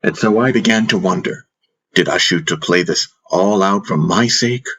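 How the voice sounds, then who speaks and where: A man with a husky voice narrating a first-person fantasy audiobook in a reflective storytelling manner. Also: low-pitched, normal speed, a bit bright.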